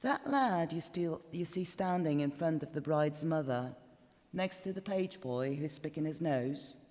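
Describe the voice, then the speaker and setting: A man reading a literary ghost story live as narrator, in a measured, deliberate and dramatic manner. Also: a little high, expressive, a little mellow. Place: indoors, stone chapel. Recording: reverberant chapel live recording.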